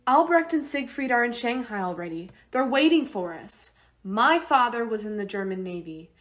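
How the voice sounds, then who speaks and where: A woman's voice: on the high side, lively intonation, moderate pace, fairly bright tone. A woman acting a determined, anxious young refugee mother in a radio play, speaking earnestly. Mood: anxious, pleading.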